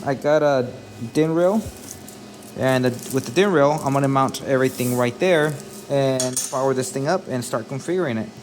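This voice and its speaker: Male installer, mid-range voice, informal and explanatory, talking viewers through a job with light work-site noise behind. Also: mid-range voice. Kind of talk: casual on-site walkthrough. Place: work site, partly outdoors. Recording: noisy job-site ambience, vehicle noise.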